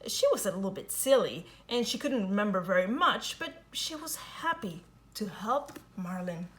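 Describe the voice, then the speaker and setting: A woman reading a children's picture book aloud in an expressive, sing-song storytelling manner. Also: medium pitch, normal speed, slight background noise. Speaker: storybook reader.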